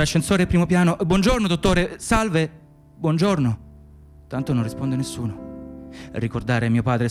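An actor playing a weary, dutiful Moroccan immigrant hospital worker in a radio drama, speaking in a plain, insistent monologue. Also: male voice, fairly high pitch, close to an everyday voice. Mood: preoccupied, musing. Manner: clipped inner monologue and plain testimony.

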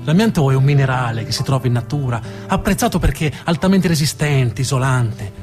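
A man performing dramatic scripted narration as a theatre storyteller, with a deliberate, emphatic delivery and dramatic pauses. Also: mid-range voice, expressive, over music.

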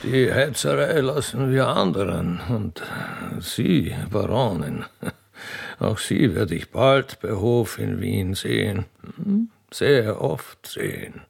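A man plays a courtly emperor in a satirical radio play. He is condescending and flirtatious, with a creaky, rough-edged voice. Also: lowish voice, big pitch swings, takes it slowly, heavily acted. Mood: gracious, flirtatious. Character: gracious, flirtatious male Habsburg emperor.

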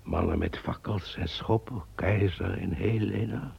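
A deep, slow, solemn man. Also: big pitch swings, gravelly, husky, full character voice. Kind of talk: radio play acting. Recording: dull old radio recording.

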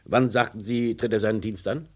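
An actor playing an authoritative, distrustful mine boss in a radio crime play, with a commanding, deliberate manner. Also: male voice, on the low side, steady tone, close to an everyday voice. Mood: sceptical, pressing.

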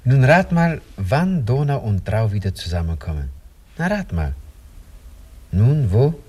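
An actor playing a homesick village blacksmith, wistful and simple, with a husky, emphatic delivery. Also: male voice, medium pitch, very animated, unhurried, mellow. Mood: wistful, eager.

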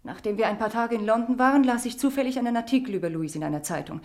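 A woman's voice: a little high, racing, a little mellow, natural voice. An actress playing a frightened young woman with an addiction, confessing earnestly in in a radio crime drama. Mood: confessional, tense.

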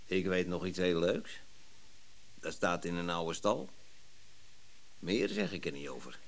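An actor playing a wary, aggrieved antiques dealer in a radio play, blunt and sardonic. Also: male voice, sits low, wildly swooping pitch, unhurried, vocal fry, slight background noise, exaggerated voice. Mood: aggrieved, guarded.

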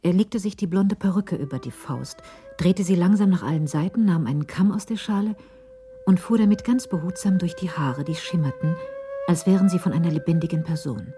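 A woman narrates a crime radio play with literary care. Her voice is very low and dark, soft at the edges, and she moves through the story in a measured way. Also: soft background music.

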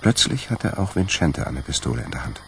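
A man narrating a radio crime drama from a script in a gravelly voice. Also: very deep voice, sing-song, brisk, fairly bright tone, slight background noise. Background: music.

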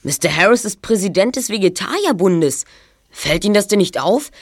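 Guy playing keen boy detective in an audio play, eagerly thinking out loud. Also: high voice, lively intonation, moderate pace, no room sound, clean studio sound. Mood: eager, suspicious. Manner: eager, reasoning aloud. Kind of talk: amateur audio drama acting.

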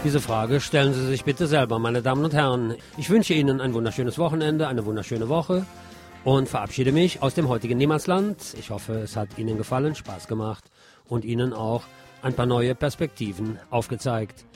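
A man hosting a radio show, delivering an expressive theater review as a monologue and addressing his listeners formally. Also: mid-range voice, moderate pace, faint music behind.

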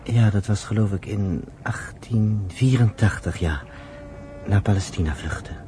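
A man's voice: lowish voice, unhurried, mellow, close to an everyday voice. An actor in a Dutch radio play voicing a friendly, earnest man who explains things thoughtfully and patiently. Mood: earnest, narrating. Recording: noisy old radio play recording.

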